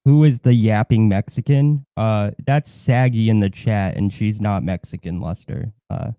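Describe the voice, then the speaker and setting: A man co-hosting a live stream with casual chat and deadpan, sarcastic asides. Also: fairly low pitch, unhurried, clean tone, no room sound.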